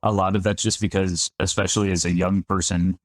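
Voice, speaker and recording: Chatty low-voiced man from upstate New York. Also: little pitch movement, brisk, dry recording, clean studio sound. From Finger Lakes region of New York. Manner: chatty and self-deprecating, with frequent restarts. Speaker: winemaker guest. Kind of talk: conversational podcast interview.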